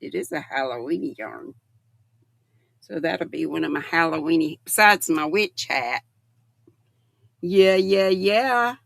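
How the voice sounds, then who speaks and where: Gravelly older woman, slow homey chat. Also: sits low, lively intonation, a bit bright, dry recording. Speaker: livestream host. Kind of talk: casual chat with live viewers.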